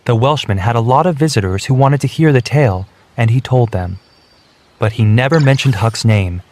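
Measured male storybook narrator. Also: medium pitch. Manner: clear, measured, steady. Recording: soft background music.

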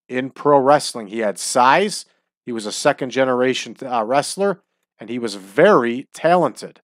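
A man's voice: mid-range voice, no echo. A man talking through a wrestling booking game with chatty, opinionated asides. His voice sits in a middle range, and his nose sounds stuffy and runny from allergies. The sound is dry and close. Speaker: let's-play host. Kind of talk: casual gameplay commentary. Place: at home.

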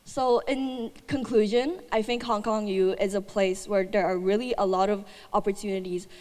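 Young female student speaker, chatty and upbeat, mid pitch, handheld mic with long hall reverb. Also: steady tone, normal speed, darkish tone. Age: young adult. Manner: chatty, upbeat, many fillers. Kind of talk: presentation talk. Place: large hall. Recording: echoey hall sound.

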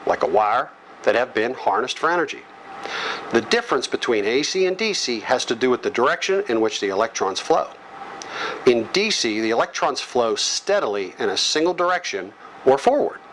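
A middle-aged American man giving an instructional lecture, explaining in short, measured phrases. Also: medium pitch, very animated, fairly bright tone, a little hiss or hum. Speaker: electrical training instructor. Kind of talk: instructional lecture read from slides. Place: small office or classroom with whiteboard, indoors. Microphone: lapel microphone.

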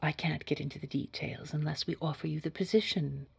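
A woman playing a secretive corporate boss in an audio drama, interviewing in a measured, formal, insinuating way with a breathy, whispery voice. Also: low-pitched, fairly even intonation, exaggerated voice. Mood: controlled, probing. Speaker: job interviewer. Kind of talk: scripted audio drama dialogue.

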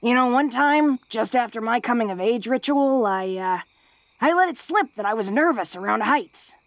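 A woman playing a big, tough young orc who is secretly awkward and tender, speaking haltingly and intimately to the listener in a romantic audio roleplay. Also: on the high side, animated, no echo. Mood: candid, wry. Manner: bashful, halting, breaking into soft chuckles. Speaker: voice actor.

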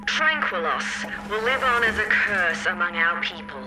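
A woman's voice: on the low side, lively intonation, measured pace, low-quality recording. A woman playing a pompous, vengeful human invasion commander in a sci-fi comedy audio drama, declaiming grandly. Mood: grandly threatening. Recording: music behind.

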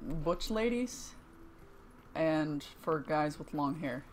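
A young woman with a low-pitched voice chatting casually while streaming a game, rambling with many restarts. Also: animated, takes it slowly, background noise. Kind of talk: casual gaming chat.

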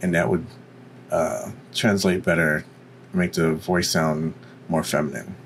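A man with a deep voice giving an informal, explanatory software tutorial. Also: steady tone, measured pace, slight background noise. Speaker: tutorial presenter.

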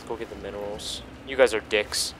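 Bright-voiced man doing sweary game commentary. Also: on the low side, fairly even intonation, brisk, a little hiss or hum, rough recording. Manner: sweary, self-congratulating, exclamatory. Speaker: gaming video host. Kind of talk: casual gameplay commentary.

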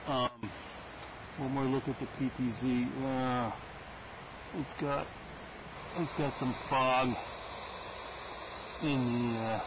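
A man talking casually to himself while fixing a wildlife camera at an outdoor nest box, thinking aloud with many fillers. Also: mid-range voice. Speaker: wildlife cam caretaker. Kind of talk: casual running commentary while working. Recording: muffled lo-fi nest cam audio with noise.

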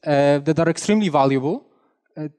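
A man's voice: a little high, a little fast, darkish tone, big-room sound. A young man from Poland with a Polish accent, giving a chatty, self-deprecating technical talk on a conference stage. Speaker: conference speaker. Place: large conference hall stage. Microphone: headset mic.

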